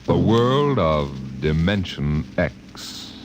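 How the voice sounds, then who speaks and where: Male show announcer: low register, theatrical vintage radio delivery, heavy background noise. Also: very animated, drawn-out words. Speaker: program announcer. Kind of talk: dramatic old-time radio announcing. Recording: very noisy old radio recording.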